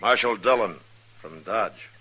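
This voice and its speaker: A man's voice: fairly low pitch, unhurried, sharp tone, husky, heavily acted. A man playing a stern, principled marshal in an old-time radio western, speaking in a firm, plain-spoken, commanding way. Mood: businesslike, firm. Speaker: lead actor in radio western. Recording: old radio recording with noise.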